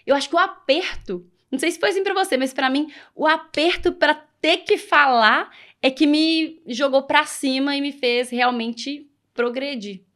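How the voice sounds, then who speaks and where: A woman's voice: high voice, moderate pace, fairly bright tone. A woman hosting an interview podcast, encouraging, who frames long questions from her own experience. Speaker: podcast host. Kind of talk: casual interview conversation.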